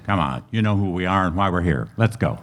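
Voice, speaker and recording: A man's voice: low-pitched, fairly quick. A man playing a clipped, unflappable police sergeant in an old-time radio crime drama. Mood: terse, firm. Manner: clipped, deadpan, firm. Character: terse, unflappable male police detective sergeant.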